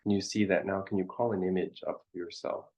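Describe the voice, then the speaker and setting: A man leading a guided meditation as a teacher, contemplative, speaking in short halting phrases with long pauses, on a dull, muffled recording. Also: sits low, steady tone, a little mellow, slightly rough.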